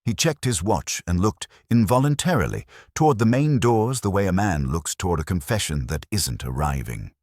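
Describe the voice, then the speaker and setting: A man reading a first-person detective story as an audiobook narrator, in a measured hardboiled style. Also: deep voice, big pitch swings, a little mellow, no room sound, studio quality.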